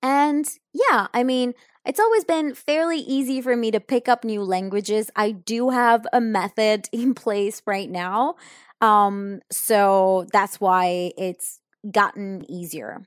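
A young woman speaks English slowly and deliberately, taking her time over each answer. She sounds thoughtful and a little hesitant, filling gaps with soft ums. Her voice is close and dry, with no room sound around it. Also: medium pitch, no echo. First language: Italian. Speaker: English learner answering questions. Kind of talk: unscripted answers in an English lesson.

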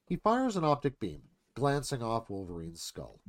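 A man's voice: mid-range voice, huge pitch swings, normal speed. A man narrating stage directions from a screenplay in a live scripted read.

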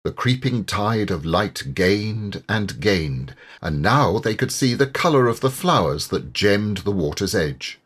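A man with a lowish voice narrates literary fiction with dramatic feeling. His delivery is slow and measured, with lively rises and falls. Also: breathy edge. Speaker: audiobook narrator, voice actor.

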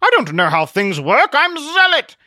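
A man's voice: extremely high pitch, wildly swooping pitch, normal speed, bright, forceful tone, dry recording, clean studio sound, voice pushed up, exaggerated voice. A man voicing a reckless, cheerfully boastful male adventurer in an audiobook, with theatrical, grandiose delivery. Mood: breezy, carefree. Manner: theatrical, grandiose, florid phrasing. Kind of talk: audiobook character voice.

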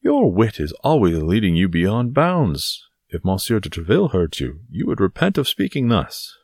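A man's voice: fairly low pitch, sing-song, moderate pace, darkish tone, no echo, clean studio sound, pitched above the performer's own voice. A man voicing a boastful, self-satisfied musketeer in an audiobook adventure story, exclaiming theatrically. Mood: reproving. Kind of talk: dramatised audiobook reading of a classic adventure novel.

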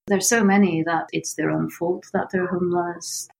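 A woman from Portugal with a Portuguese accent, answering interview questions in an earnest, measured way. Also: lowish voice, steady tone, gravelly. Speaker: founder of a homelessness research organization.

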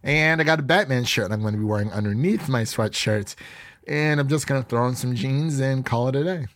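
Stuffy raspy male podcast host. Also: mid-range voice, a little fast, no room sound, studio quality. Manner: chatty, rambling with frequent restarts. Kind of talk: casual podcast chat.

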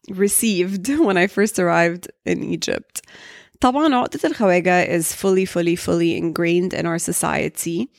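A woman's voice: on the low side, no echo, studio quality. A young woman with an American English accent, hosting a solo podcast and speaking frankly, switching between Arabic and English mid-sentence. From Saudi Arabia. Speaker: podcast host.

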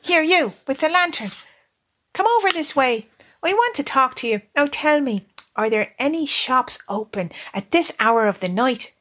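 A woman voicing a hearty, masterful male water rat from a storybook, brisk and commanding. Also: high-pitched, lively intonation, pitched above the performer's own voice. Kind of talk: storybook character voice.

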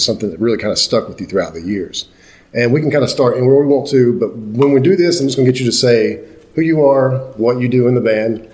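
A middle-aged man hosting a casual, chatty interview in an easygoing, halting, rambling way. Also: mid-range voice, darkish tone. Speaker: podcast host and interviewer.

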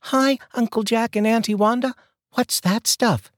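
A man voicing an excited young boy in a storybook reading, pitched well up. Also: unhurried, dry recording, studio quality.

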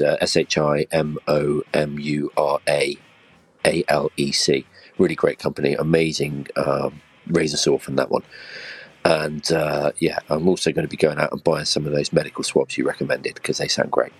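A fellow podcaster leaving a friendly, teasing voice message. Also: male voice, a bass voice, level tone, normal speed, husky. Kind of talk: recorded voice message.

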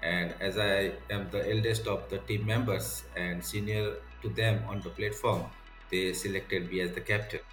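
An Indian-accented man speaks casually as a podcast guest. His voice sits on the low side, recorded in a reverberant room with faint music underneath. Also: little pitch movement, normal speed, fairly bright tone. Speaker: podcast guest, software tester. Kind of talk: casual interview talk.

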